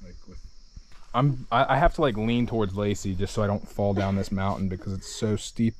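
A man's voice: fairly low pitch, fairly quick. Young man vlogging on a jungle hike. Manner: chatty, narrates to camera while hiking. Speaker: travel vlogger. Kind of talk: casual hiking vlog. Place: outdoors on a rainforest volcano trail. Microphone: action camera held at arm's length. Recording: faint outdoor noise.

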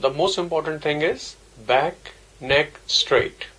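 Slow-paced man guiding a meditation. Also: a little high, sharp tone, husky, rough recording. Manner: measured, simple repetitive phrasing. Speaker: meditation teacher. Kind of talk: guided meditation instruction.

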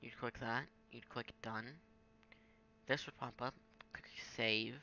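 A young man talks through a software tutorial in a casual, offhand way. His voice is bright with a creaky edge, and there is faint noise behind him. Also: medium pitch, level tone, takes it slowly. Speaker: gaming tutorial maker. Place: indoors at a home computer.

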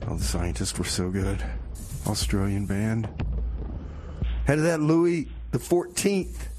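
A man's voice: lowish voice, big pitch swings, takes it slowly, background noise. A man hosting a community radio show with casual, comedic DJ patter, rambling in a folksy way and repeating his phrases.

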